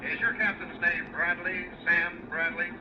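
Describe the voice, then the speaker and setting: An actor playing a clipped, businesslike airport tower controller in an old-time radio drama. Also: male voice, high-pitched, fairly even intonation, unhurried. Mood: official, brisk. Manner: clipped, official. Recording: muffled, noisy old radio broadcast.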